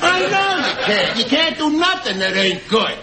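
Sitcom husband: middle-aged New York working man, stubborn, badgering, high-pitched, over a noisy audience track. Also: sing-song, normal speed, exaggerated voice. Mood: exasperated, mocking. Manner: blustering, ungrammatical, badgering. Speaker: sitcom actor. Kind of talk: sitcom acting before a studio audience.

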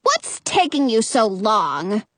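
Woman acting hollering old witch, high-pitched. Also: big pitch swings, a bit bright, no echo, heavily acted. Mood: angry, threatening. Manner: hollering commands, drawn-out calls. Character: angry old woman witch, bossy and threatening.

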